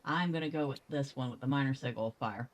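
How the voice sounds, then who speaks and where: A woman's voice: rumbling low, fast. A woman giving casual game commentary, chatty and playful as she thinks aloud. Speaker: gaming video host.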